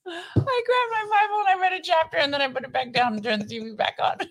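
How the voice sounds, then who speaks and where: A woman's voice: very high-pitched, fast, a bit bright. Teasing woman co-host chatting. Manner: teasing, laughing often. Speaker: podcast co-host. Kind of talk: casual chat with spouse.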